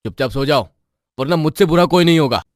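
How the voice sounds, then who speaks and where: An actor playing a young village husband in a cartoon story, dry, sardonic and grumbling. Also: male voice, on the high side, fast talker, no room sound, natural voice. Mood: irritated.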